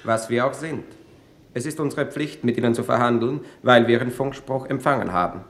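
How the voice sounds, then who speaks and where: An actor playing a plain, laconic envoy of space colonists in a 1950s German radio play, speaking matter-of-factly. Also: male voice, mid-range voice, fairly quick, a bit bright, close to an everyday voice. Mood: calm, matter-of-fact. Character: plain, unruffled male colonist envoy from Venus.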